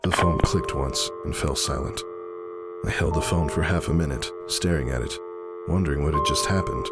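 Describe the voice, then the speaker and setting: Somber, suspicious pony husband, read by a man, deep-voiced dramatic first-person storytelling over music. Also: moderate pace. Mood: troubled, brooding. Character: brooding male pony husband, anxious and suspicious. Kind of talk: dramatic audiobook narration.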